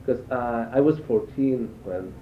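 An older man from Israel giving reflective interview answers, haltingly, repeating phrases as he searches for words. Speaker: interviewee, cello teacher and performer. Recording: echoey, tinny band-limited sound with faint rumble.

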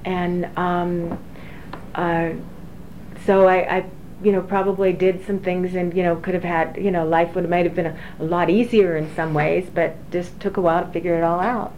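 A woman in her forties, an engineering professor, recalling her career slowly with many fillers and restarts. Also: fairly low pitch, little pitch movement. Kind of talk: oral history interview. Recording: dull lo-fi recording with faint hiss.

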